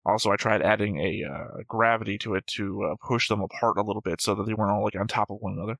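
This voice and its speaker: Bright-voiced, slightly gravelly man chatting. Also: fairly low pitch, fairly even intonation, fast talker, dry recording. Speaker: reaction show host. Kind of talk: casual discussion of an anime episode.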